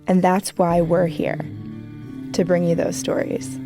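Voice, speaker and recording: A woman co-hosting and narrating a documentary series trailer in a measured, earnest scripted read. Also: fairly low pitch, over music. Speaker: co-host and narrator.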